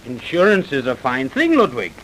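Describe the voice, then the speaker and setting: An actor playing a greedy, self-assured doctor who persuades briskly and smoothly, in old-time radio drama style. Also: male voice, mid-range voice, huge pitch swings, fairly bright tone, poor audio. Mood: scheming, confident. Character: scheming, smooth-talking, greedy doctor plotting fraud. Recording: vintage radio broadcast recording.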